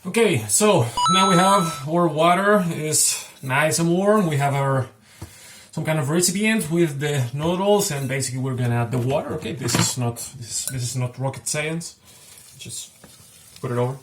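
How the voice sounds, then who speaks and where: A man's voice: fairly high pitch, moderate pace, fairly bright tone. Man, casual joking cooking host, rambly and self-correcting, echoey home kitchen. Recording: roomy kitchen with faint noise.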